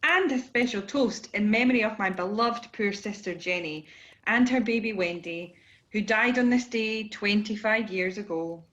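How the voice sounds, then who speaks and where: A woman's voice: mid-range voice, moderate pace, a bit bright, close to an everyday voice. A woman playing an earnest, forgiving middle-aged relative in an amateur radio play. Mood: solemn. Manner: earnest, reminiscing. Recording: dull video-call audio.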